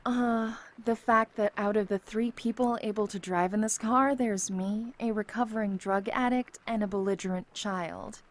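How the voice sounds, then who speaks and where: A woman's voice: medium pitch, fairly even intonation, moderate pace, hardly any pauses, close to an everyday voice. A woman playing a take-charge, dryly sarcastic leader in an audio drama. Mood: sardonic. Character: brisk, take-charge woman with a sardonic edge. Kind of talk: amateur audio drama acting.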